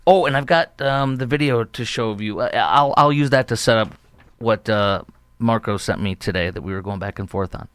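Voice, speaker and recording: Indignant low-voiced male commentary host. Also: normal speed, fairly bright tone, clear, resonant tone, dry recording. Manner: emphatic, indignant, rambling. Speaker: talk show host. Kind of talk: opinionated political commentary.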